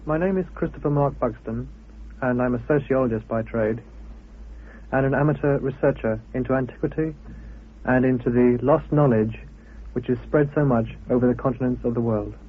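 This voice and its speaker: A man giving an expository talk as an amateur researcher, in deliberate, halting phrases. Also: medium pitch, husky, narrow, tinny audio. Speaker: sociologist and amateur antiquity researcher. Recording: thin, band-limited old radio recording.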